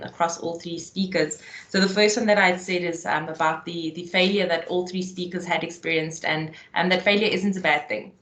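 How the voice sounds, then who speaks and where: A woman's voice: fairly low pitch, fairly bright tone, reverberant. A woman moderating a webinar, giving closing remarks and thanks with many "um" fillers.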